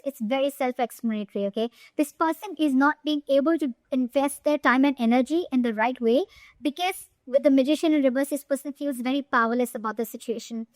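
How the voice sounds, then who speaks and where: A woman's voice: sits high, darkish tone, dry recording. A woman giving an informal tarot reading straight to the viewer, speaking emphatically and often checking in with short tag questions. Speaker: tarot reader.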